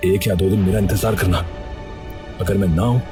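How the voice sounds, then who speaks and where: Guy doing earnest village detective for a cartoon story, lowish voice, loud music behind. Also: steady tone, moderate pace, close to an everyday voice. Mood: urgent. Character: earnest village man, undercover detective posing as bangle seller. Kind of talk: Hindi cartoon story dialogue.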